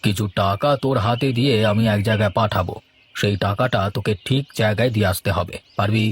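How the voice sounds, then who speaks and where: A man's voice: lowish voice, fast, husky. A man playing a moneyed village employer in a cartoon, questioning and instructing in a condescending way. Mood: cautious, commanding. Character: village boss, cautious moneyed employer giving orders. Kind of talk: Bengali cartoon voice acting.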